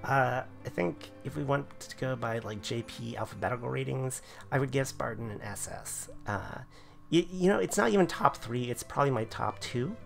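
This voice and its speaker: A man reviewing a game class in casual video commentary, his voice going hoarse, rambling with lots of fillers. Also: medium pitch, lively intonation, moderate pace, faint music behind. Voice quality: gravelly, voice wearing thin. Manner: rambling, filler-heavy explanations. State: losing his voice.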